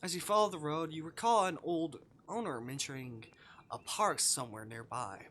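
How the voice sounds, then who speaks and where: A man with a bright, forceful voice streams a game in a casual, playful way. His pitch moves around a lot as he reads aloud and comments offhand. There is some noise in the background. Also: expressive, normal speed. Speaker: livestreamer playing a visual novel.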